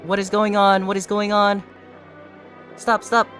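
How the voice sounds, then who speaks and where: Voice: medium pitch, monotone, unhurried, fairly bright tone, faint music behind. A gaming streamer reading visual novel text aloud during a playthrough, breaking into startled reactions. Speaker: video game streamer.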